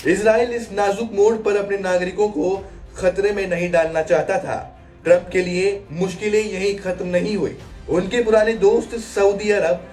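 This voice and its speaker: A man with a high voice reads a scripted news explainer. His delivery is dramatic and leans on rhetorical questions. He sounds set back from the mic, with music running underneath. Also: darkish tone, recorded from a distance. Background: background music.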